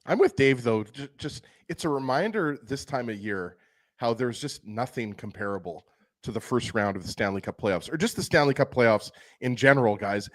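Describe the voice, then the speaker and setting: Eager male hockey co-host, mid-pitched. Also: expressive, normal speed. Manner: enthusiastic, keeps asking "right?". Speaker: podcast co-host. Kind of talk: casual sports talk.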